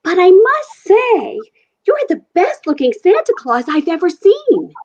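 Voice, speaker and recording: A woman's voice: very high-pitched, sing-song, unhurried, a little mellow, muffled recording, exaggerated voice. A woman playing a polite, sensible, skeptical young girl with precise, matter-of-fact speech in a 1940s-style radio play. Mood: doubting, frank.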